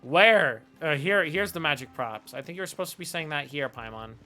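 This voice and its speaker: A man's voice: a little high, expressive, normal speed, pushed and bright. A man in his twenties giving casual, slangy live game commentary in a reactive, jokey manner. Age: 20s. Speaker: game streamer. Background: faint game music.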